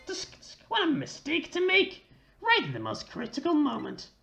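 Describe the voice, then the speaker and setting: A woman puts on a smug male game villain, pitched well above her own voice. He is oily and sinister, mocking in a sing-song that swoops widely. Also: wildly swooping pitch, normal speed, vocal fry, fairly bright tone, faint music behind, heavily acted. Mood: gloating.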